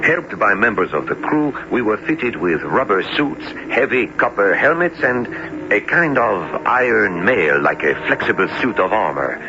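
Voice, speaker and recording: A low-voiced, learned professor tells his sea tale in formal, dramatic phrases. His delivery swings widely in pitch, and the dull old radio recording is noisy. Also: big pitch swings. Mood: solemn storytelling. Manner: measured, formal storytelling. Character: learned, sober male doctor and professor recounting his sea voyage. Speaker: narrator and character. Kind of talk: dramatic radio narration and acting.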